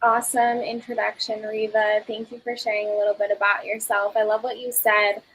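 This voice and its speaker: A woman's voice: medium pitch, little pitch movement, a little fast, a bit bright, husky, poor audio. A woman hosting an online community session, chatty and encouraging, with frequent ums. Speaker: community host.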